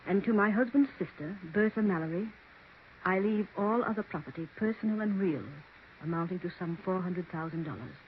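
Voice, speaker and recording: An actress playing a haunted widow who insists on every word. Her delivery is deliberate and dramatic, in vintage radio mystery style, with a thin phone-like band and light hiss. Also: on the low side, lightly acted. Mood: composed, formal. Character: haunted, insistent widowed aunt, firm and strange. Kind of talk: 1940s radio mystery acting. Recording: tinny, hissy 1940s radio recording.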